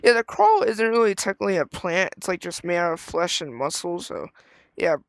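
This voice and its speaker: A man's voice: high-pitched, lively intonation, fairly quick, gravelly, dry recording. A man casually giving reaction commentary as a video host, rambling in slangy language and repeating himself.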